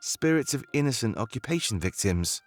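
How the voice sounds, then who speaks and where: A man's voice: mid-range voice, expressive, moderate pace, soft background music, natural voice. A man voicing an earnest rebel guide in a visual novel game, conscience-stricken but with a playful streak, talking in a musing, conversational way. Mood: earnest, confiding.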